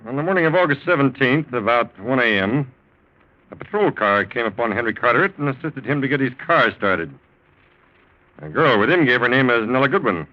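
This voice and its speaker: A male actor playing a probing, deceptively polite police lieutenant in a 1940s radio drama, asking questions in a measured, hesitant way. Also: mid-range voice, animated, close to an everyday voice. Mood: probing, official. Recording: dull old 1940s radio recording.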